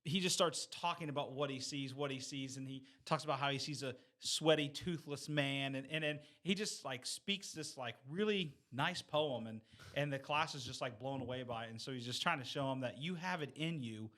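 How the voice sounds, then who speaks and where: Male, sharp bright tone, earnest conversational storyteller in a casual group discussion. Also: medium pitch, moderate pace. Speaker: discussion panelist; teacher.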